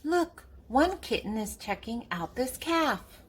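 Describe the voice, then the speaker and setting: An older woman reading a picture book aloud to young children in a playful, animated way as the host. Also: a little high, slow pace, husky. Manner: playful, acting out animal sounds.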